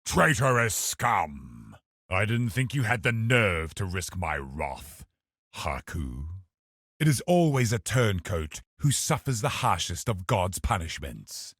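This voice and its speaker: A cold, scheming religious officer of a video game villain. The voice is very deep and rough-edged, with slow, formal, calculating delivery that swings widely in pitch. It is recorded close and dry. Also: male voice, big pitch swings, a bit bright, dry recording, heavily acted. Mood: scornful. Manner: measured, haughty, calculating, formal. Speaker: game boss character. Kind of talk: dramatic video game voice acting.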